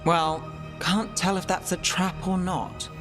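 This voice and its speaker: An actor playing a cool, dry-witted investigator in a fantasy video game, wry and matter-of-fact. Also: male voice, high-pitched, little pitch movement, slow pace, music in the background.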